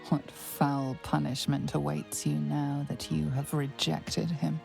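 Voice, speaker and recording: A grave fantasy game narrator, speaks low with a heavy creak over prominent music. The delivery is slow, portentous and ominous, like a storyteller of dark fate. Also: female voice, little pitch movement, full character voice. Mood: menacing, solemn. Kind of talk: scripted dramatic game narration.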